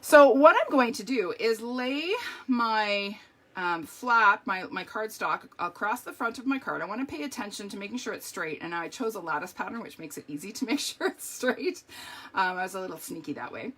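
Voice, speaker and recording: A middle-aged woman with a strained, hoarse voice, chatting through a live card-making tutorial with lots of ums and okays. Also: mid-range voice, animated, normal speed, a bit bright.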